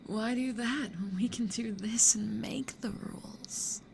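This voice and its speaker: A woman's voice: a little high, darkish tone, a little hiss or hum. A woman playing a seductive, possessive succubus demon in an ASMR roleplay, teasing and often half-whispering. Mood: playful, devious. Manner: slow, teasing, breathy half-whispered delivery.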